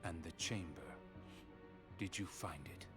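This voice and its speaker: A man's voice: sits low, measured pace. A man playing a cold, calculating leader in a video game, terse and stern. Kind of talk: English video game dub acting. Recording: game music playing behind.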